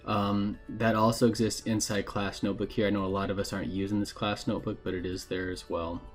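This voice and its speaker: A man narrating a casual software tutorial in a plain, informal, explanatory way. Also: lowish voice, flat delivery, brisk, faint music behind. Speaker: instructor giving a software tutorial.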